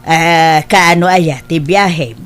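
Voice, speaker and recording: A woman playing a caring older mother in an Ilocano family drama, speaking gently in an Ilocano accent. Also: deep voice, slow. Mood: caring, advising. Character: caring older mother counselling her grown son. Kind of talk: Ilocano radio-style family drama acting.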